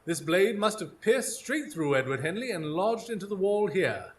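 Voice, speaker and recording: An actor playing a keen, self-assured Victorian detective in a live radio drama, speaking formally and precisely. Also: male voice, high voice, big pitch swings, moderate pace. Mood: analytical. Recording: live stage recording, faint ambient noise.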